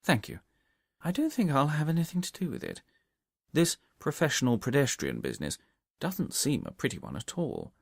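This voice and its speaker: Man acting a self-assured detective, storybook, precise manner, wide expressive intonation, dry sound. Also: on the high side, moderate pace, creaky, no echo, pitched above the performer's own voice, full character voice. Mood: cool, polite. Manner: precise, methodical, confident. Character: shrewd, self-assured gentleman detective explaining his methods.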